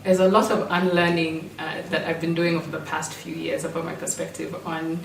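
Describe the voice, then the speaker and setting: A woman with a Kenyan accent shaped by British schooling reflects in a group, halting as she thinks. Her voice sits on the low side, heard through room echo with a faint layer of noise. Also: little pitch movement, creaky. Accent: Kenyan with British-school influence. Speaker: performer. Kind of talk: reflective personal talk in a group. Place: indoor room at an in-person group meeting. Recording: echoey room, distant mic, faint noise.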